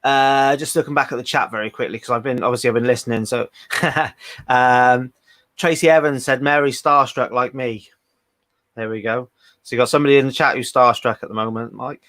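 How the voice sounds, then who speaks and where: A man's voice: medium pitch, steady tone, fairly quick, a bit bright, no echo. A British man hosts a live video chat in a chatty, rambling way, full of ums, and keeps reading comments aloud. His voice sits in a middle range and sounds dry and close. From Britain. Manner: chatty, many fillers, reads out viewer comments. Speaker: host. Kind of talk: casual live-stream chat. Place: home in Wales, video call.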